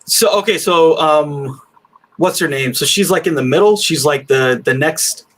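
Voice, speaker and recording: A man with a voice a bit higher than most men's. He hosts a film review podcast and talks in a loose, conversational way with his co-host. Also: moderate pace, fairly bright tone. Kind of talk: casual film discussion.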